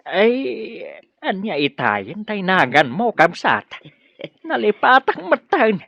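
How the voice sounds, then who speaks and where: Husky, swooping Filipino father. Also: sits high, huge pitch swings, slow pace, dry recording, full character voice. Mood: friendly, amused. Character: devout, fretful, friendly Filipino father of a wayward son. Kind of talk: Ilocano radio drama acting. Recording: muffled radio drama audio.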